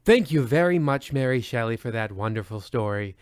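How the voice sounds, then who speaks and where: A man's voice: mid-range voice, expressive, brisk, clean tone, dry recording, lightly acted. A man playing a theatrical, mock-grave merchant who hosts an old-time horror radio parody. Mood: mock-grave, storytelling. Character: pompous theatrical merchant storyteller, horror-show host. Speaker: host narrator.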